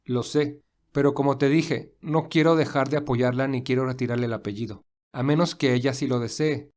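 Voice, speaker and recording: A man playing a thoughtful, devoted young gentleman in an audio drama, earnest and reflective. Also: mid-range voice, fairly even intonation, a little fast, lightly acted. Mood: businesslike, earnest.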